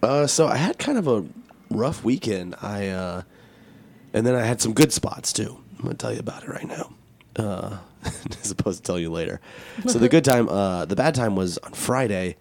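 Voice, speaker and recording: An American man co-hosting a talk podcast in casual chat, joking and self-deprecating, with a raspy voice from a cold. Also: fairly low pitch, lively intonation, moderate pace, a little mellow. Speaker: podcast and radio show co-host.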